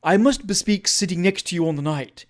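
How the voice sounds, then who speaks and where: A gushing storybook matron, put on by a narrator, all sweet flattery with a scheming edge. She is a society woman who insinuates as she agrees. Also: mid-range voice, a little fast, close to an everyday voice. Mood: flattering, sly.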